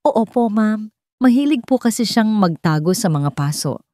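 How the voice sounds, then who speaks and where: A woman's voice: mid-range voice, expressive, moderate pace, mellow, no room sound, clean studio sound, voice pushed up, natural voice. Woman voicing a meek, nervous housemaid. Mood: nervous, pleading. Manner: deferential, nervous. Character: frightened, meek household maid hiding her nerves.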